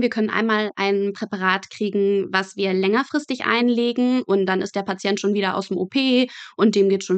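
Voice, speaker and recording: A woman's voice: a little high, dry recording. A woman guest in a casual interview, explaining her work in conversational terms. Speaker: podcast guest, medical student working in pathology.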